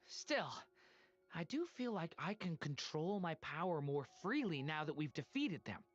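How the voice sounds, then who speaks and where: A woman's voice: low voice, sing-song, unhurried, dull audio, faint music behind, heavily acted. A woman voicing an earnest, polite young boy in a video game. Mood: calm, grateful.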